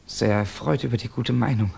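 An actor playing a reserved, uneasy Russian intellectual in a German radio play, with terse, wary, halting delivery. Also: male voice, mid-range voice, gravelly, a little mellow, slightly rough, exaggerated voice.

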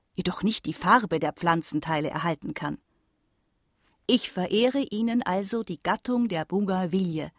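An eighteenth-century French woman of science in a radio drama, reciting her letters with formal courtesy. The pitch is mid-range and the sound is close and dry. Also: dry recording.

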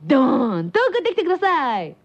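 A woman hosting a radio show with teasing banter, quick to jump in. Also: sits high, big pitch swings. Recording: dull lo-fi radio recording.

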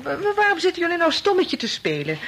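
Sympathetic mother of two, effusive, radio play, lively intonation, faintly noisy recording. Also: very high-pitched, fast talker, heavily acted. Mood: chatty. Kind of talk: Dutch radio play acting.

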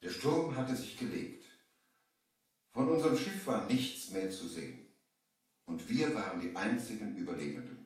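Ein Mann spielt einen feierlichen, schiffbrüchigen Erzähler im hallenden Hörspiel. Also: male voice, fairly low pitch, expressive, lightly acted. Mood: grave narrating. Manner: solemn, theatrical, deliberate diction. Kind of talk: dramatic storytelling in a 1970s German radio play. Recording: roomy, echoing 1970 vinyl radio play, distant.

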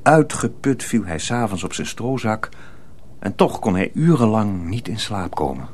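A man narrating a dark folk tale for a radio drama in a measured, serious manner. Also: fairly low pitch, very animated, slight background noise. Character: sober male storyteller of a dark folk tale.

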